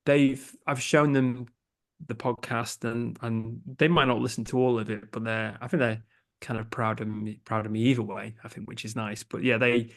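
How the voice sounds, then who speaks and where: A man's voice: medium pitch, level tone, moderate pace. A young man with an English accent, a podcast producer chatting as a guest in a casual interview, hesitant, with many fillers and restarts. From England.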